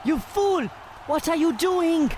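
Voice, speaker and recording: High-pitched, bombastic German boxer. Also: male voice, expressive, slow, darkish tone, a faint crowd, heavily acted. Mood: indignant. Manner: bombastic, exclamatory. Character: irate melodramatic male German boxer wanting to lose. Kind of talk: comedic old-time radio play acting. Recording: noisy background ambience.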